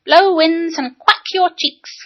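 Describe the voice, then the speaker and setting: A woman's voice: extremely high pitch, slow, voice pushed up. A woman voicing a little boy who grandly recites speeches but cannot pronounce his R's. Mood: pompous. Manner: pompous declaiming, R's turned into W's. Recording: dull lo-fi recording.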